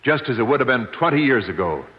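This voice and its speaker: An actor playing a resolute masked avenger in a 1950s radio western, dramatic and solemn. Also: male voice, medium pitch, very animated, fast, close to an everyday voice. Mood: grimly determined. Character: resolute male masked avenger of old California. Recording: dull, echoey 1950s radio recording.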